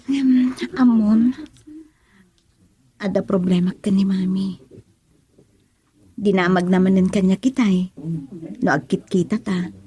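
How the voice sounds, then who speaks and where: A woman's voice: medium pitch, steady tone, slow pace, pauses often, dark tone, lightly acted. A woman playing a sweet, coy young university student in an Ilocano radio romance, with a hesitant manner. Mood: coy, affectionate.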